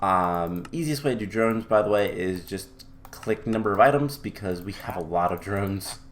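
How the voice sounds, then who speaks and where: A lower-pitched man talks through his game at an easy, rambling pace, filling gaps with ums. His voice sounds slightly off because he is coming down with a cold. Quiet keyboard typing sits faintly under the home recording. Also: moderate pace, a little hiss or hum. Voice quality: a little off from illness. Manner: relaxed and chatty with many ums. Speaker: gameplay commentator. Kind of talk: casual let's-play commentary.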